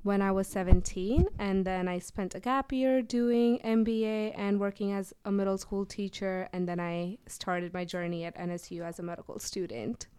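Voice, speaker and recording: A woman's voice: medium pitch, fairly even intonation, normal speed, hardly any pauses, warm tone, clean tone, no room sound. A woman in her twenties from India, a medical student guest on a podcast, composed and cheerful. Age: 20s. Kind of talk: casual interview chat.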